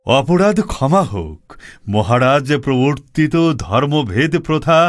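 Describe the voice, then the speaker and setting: A man's voice: mid-range voice, sing-song, unhurried, no room sound, studio quality. A man voicing a cautious royal minister in a historical cartoon, speaking formally and deferentially. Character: cautious male royal minister, dutiful and worried. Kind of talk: animated cartoon voice acting.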